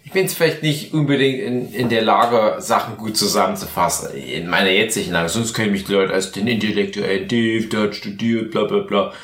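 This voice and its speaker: A man's voice: fairly low pitch, a little fast, few pauses, fairly bright tone, roomy sound. A tipsy man co-hosting a casual podcast, rambling along with mock-formal phrasing. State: tipsy from mixed drinks. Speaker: podcast host. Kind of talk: casual, bantering podcast chat.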